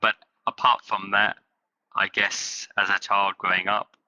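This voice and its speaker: A man from England, a company's chief executive, answering interview questions in halting phrases with many fillers. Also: fairly low pitch, flat delivery, bright, forceful tone, lo-fi sound, slight background noise. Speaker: guest, company CEO.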